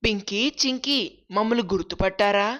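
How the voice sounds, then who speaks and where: A woman's voice: medium pitch, fairly quick, a bit bright, natural voice. A woman voicing a friendly, shy and hopeful young man in a cartoon story. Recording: dull lo-fi sound.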